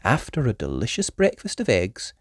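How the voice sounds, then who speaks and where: A woman reading a children's story aloud in an animated storytelling style. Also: a bass voice, moderate pace, breathy edge, no room sound, clean studio sound.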